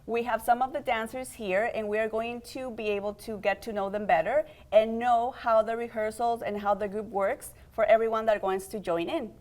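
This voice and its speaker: A woman's voice: fairly high pitch, steady tone, normal speed, few pauses, a little mellow. A young adult woman hosting a community TV interview, friendly and upbeat, guiding her guests with questions. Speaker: host and program organizer.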